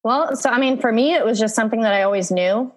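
A woman's voice: on the high side, quick pace. A woman from Virginia, a guest on a podcast, telling stories in a friendly, easygoing way and laughing often. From Virginia, USA. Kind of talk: casual interview chat.

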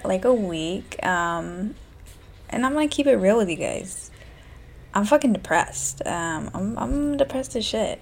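A woman in her twenties hosting a solo podcast, talking low and hushed in a candid, profane casual chat from a room in a small house. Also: a little hiss or hum. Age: 20s. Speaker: podcast host. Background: faint classical music.

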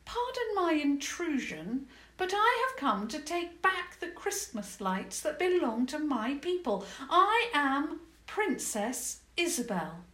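A woman's voice: high-pitched, sing-song, slow, sharp tone, voice pushed up, heavily acted. A woman voicing a polite, brave young princess who speaks earnestly and formally. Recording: echoey room.

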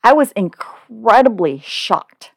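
A woman's voice: a little high, expressive, slow pace, gravelly, dry recording, studio quality. A woman in her forties, a podcast host from California, talking in an upbeat, chatty, personal way. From Oakland, California. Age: 40s. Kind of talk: scripted podcast monologue.

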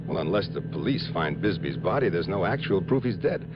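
A man's voice: sits low, very animated, a little fast, loud music behind the voice. An actor playing an earnest, emphatic man explaining his suspicions in an old radio drama. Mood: insistent. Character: earnest associate briefing a detective on a suspicion. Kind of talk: 1950s-60s radio drama acting. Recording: muffled old radio, loud engine-like noise.

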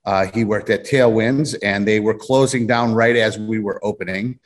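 A man's voice: on the low side, fairly even intonation, normal speed. A man from Illinois, a distillery co-owner, telling his story in a rambling, casual way during an interview. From Illinois, USA. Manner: rambling storyteller, repeats phrases.

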